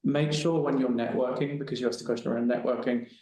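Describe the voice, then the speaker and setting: A man's voice: medium pitch, fairly even intonation, quick pace, mellow. A man on a webinar panel telling a personal story in a casual, rambling way with frequent restarts. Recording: very echoey room.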